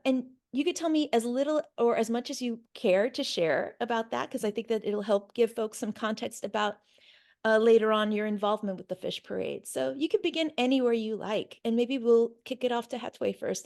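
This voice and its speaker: A woman archivist conducting an oral history interview, asking measured, polite questions. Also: on the high side, creaky, darkish tone.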